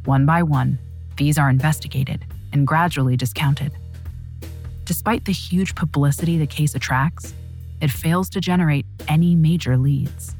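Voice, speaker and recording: A woman narrating a true crime story in a measured, dramatic scripted style. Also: low-pitched, a little mellow, faint music behind.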